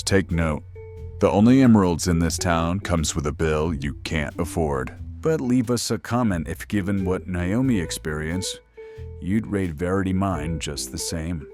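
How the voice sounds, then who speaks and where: Man playing a deep-voiced, ominous late-night radio host. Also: darkish tone. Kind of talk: scripted radio horror drama. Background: music.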